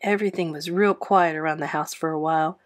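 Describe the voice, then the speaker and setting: A woman narrating a children's book aloud in an expressive storybook style. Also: sits low, fast.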